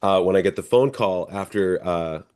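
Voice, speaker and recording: Low-voiced American man telling stories. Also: moderate pace, darkish tone, clean tone. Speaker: stage actor being interviewed. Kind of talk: casual interview storytelling.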